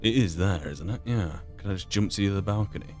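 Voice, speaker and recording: A man's voice: fairly low pitch, fast, a little mellow. A man giving casual, understated commentary on his video game playthrough, thinking aloud as he plays. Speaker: gamer, playthrough host. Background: game music.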